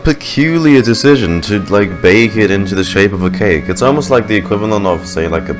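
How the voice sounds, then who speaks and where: A man's voice: lowish voice, expressive, a little fast. A man giving casual let's-play commentary, laid-back and rambling, as a gaming reaction streamer. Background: game music.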